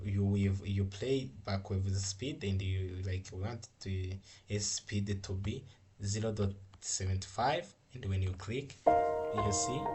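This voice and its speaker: A man who is a non-native English speaker, giving an informal software tutorial in a halting, repetitive, step-by-step way. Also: fairly low pitch, slightly rough. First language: not English. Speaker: coding tutorial instructor.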